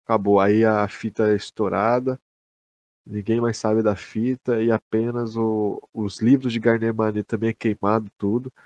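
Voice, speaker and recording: A man's voice: lowish voice, level tone, moderate pace, a little mellow, no echo, lo-fi sound. Young Brazilian man, dry close sound, slangy. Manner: slangy, sweary, repeats himself. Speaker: podcast co-host. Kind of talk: casual chat.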